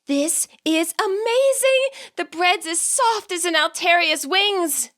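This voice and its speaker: An excitable teenage girl played by a woman narrator in a storybook. Her voice is high and very lively. She gushes earnestly and leans hard on her words, like an eager, chatty rival. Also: exaggerated voice. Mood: delighted. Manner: gushing, emphatic, drawn-out words.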